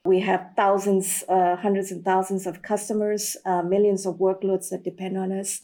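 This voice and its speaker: A woman's voice: mid-range voice, level tone, normal speed, mellow. A middle-aged woman, a guest candidly telling stories about her career in an interview. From Malaysia. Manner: candid, anecdotal, frequent fillers. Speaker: guest, sales executive. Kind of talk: storytelling in an interview.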